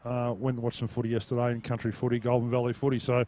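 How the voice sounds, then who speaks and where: An Australian man doing boundary reports, his voice husky from a cold. His tone is mellow and dark as he chats casually with the commentators. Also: mid-range voice, racing. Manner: casual, relaxed chat. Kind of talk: football broadcast commentary.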